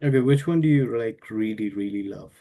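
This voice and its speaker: Man, podcast host, gravelly low voice, relaxed, lots of fillers. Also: fairly quick. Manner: relaxed, hesitant, many fillers. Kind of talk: casual interview chat.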